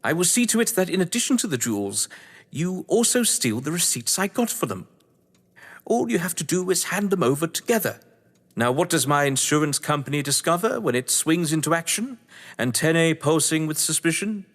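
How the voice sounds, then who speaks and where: An actor playing an urbane, cunning English crime novelist in an audio drama, pompous, condescending and wordy. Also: male voice, fairly high pitch, sing-song, moderate pace, fairly bright tone. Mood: relishing, sly. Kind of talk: theatrical audio drama acting. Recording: echoey hall-like reverb.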